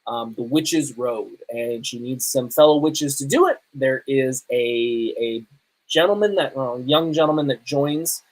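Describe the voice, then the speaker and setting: A man hosts a casual live podcast in a medium-pitched voice. He talks conversationally, wandering through his reviews and correcting himself as he goes. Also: a little slow, poor audio. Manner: conversational, rambling, self-correcting. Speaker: podcast host.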